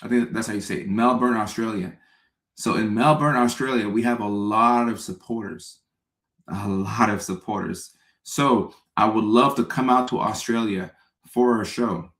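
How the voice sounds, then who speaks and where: Upbeat comedian from Ghana, low-ish voice, live-stream chat in an echoey room. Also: male voice, normal speed, fairly bright tone. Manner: upbeat, greets viewers by name, repeats phrases. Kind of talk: casual live-stream chat reading viewer comments.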